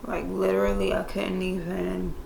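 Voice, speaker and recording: A young woman doing a makeup tutorial in casual chat, laid-back and monotone by her own account, with playful slangy asides to viewers. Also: medium pitch, normal speed, creaky, echoey room, slight background noise, low-quality recording. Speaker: beauty vlogger. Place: room indoors. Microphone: camera mic.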